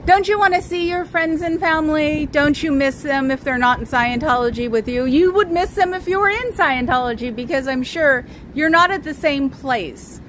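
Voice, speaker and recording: A woman's voice: high voice, normal speed, hardly any pauses, a bit bright. Chatty woman losing her voice outdoors. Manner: chatty, digressive, calls out to passers-by. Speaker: livestreamer. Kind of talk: rambling live-stream chat. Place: outdoors on a street in San Francisco. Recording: outdoor street recording with traffic noise.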